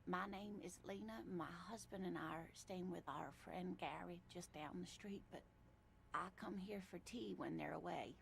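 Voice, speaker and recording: An actress voicing a kindly, chatty elderly woman with a raspy voice who is worried about her missing husband, in a video game. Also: lowish voice, moderate pace, full character voice. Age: older. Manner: gentle, polite, chatty.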